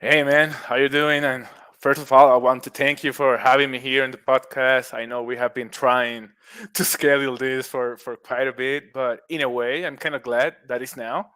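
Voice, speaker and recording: A middle-aged man with a Puerto Rican accent talks casually as a podcast guest. His pitch stays flat and even, and the recording is close and dry. He keeps a friendly tone, laughs easily and often restarts his words. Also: moderate pace, clear, resonant tone, no room sound. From Puerto Rico. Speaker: guest, mushroom business owner.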